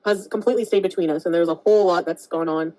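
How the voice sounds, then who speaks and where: A woman's voice: lowish voice, fairly even intonation, fast talker, mellow, poor audio. A woman talking casually to her followers in a vlog clip.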